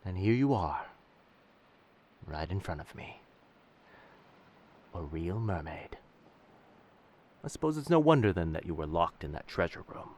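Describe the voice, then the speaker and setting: Man playing a deep-voiced, commanding pirate captain. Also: expressive, measured pace, pauses often, a little mellow. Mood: intrigued, gentle. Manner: old seafaring diction, addresses others as lass and sir. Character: commanding pirate captain, roguish, by turns tender and menacing. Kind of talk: roleplay audio drama, speaking to the listener.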